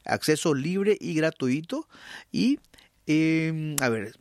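A man's voice: on the high side, big pitch swings, slow pace, a little mellow, dry recording, clean studio sound. Paraguayan male radio host. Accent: Paraguayan Spanish. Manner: hesitant with many fillers and restarts, then reading news steadily. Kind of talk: talk radio hosting and news reading.

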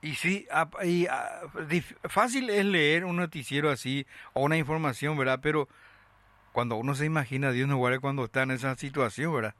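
A man hosting a radio show, reading the news and chatting with callers in a measured, explanatory way with many tag questions. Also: mid-range voice, a bit bright, dry recording. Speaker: radio host.

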